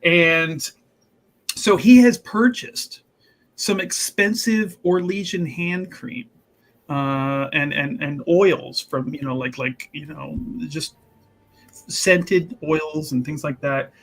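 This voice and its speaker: A man's voice: fairly high pitch, slow. A man telling his part of a tabletop game to viewers in a casual, rambling, emphatic way, as a player. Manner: animated, emphatic, rambling and repetitive.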